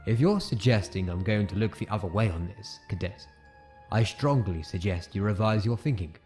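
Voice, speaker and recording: An actor playing a stern, pragmatic military academy commandant, blunt and firm, in a dramatic comic dub. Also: male voice, fairly low pitch, moderate pace, a little mellow, faint music behind, close to an everyday voice. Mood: stern, concerned.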